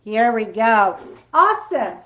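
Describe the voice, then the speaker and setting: Brief: woman host with a French accent, high and unhurried, chatty livestream demo, roomy home craft-room sound. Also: very animated, a bit bright. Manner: chatty, rambling, loose non-native grammar. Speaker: card-making livestream host. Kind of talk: casual live demo chat. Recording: roomy, slightly dull sound.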